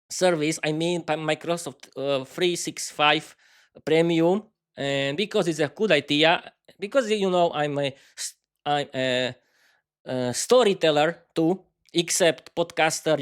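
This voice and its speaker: Man, slovak accent, non-native english, unscripted solo podcast, halting, many restarts, fairly high voice. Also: clean tone. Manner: halting, frequent restarts and fillers. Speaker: podcast host.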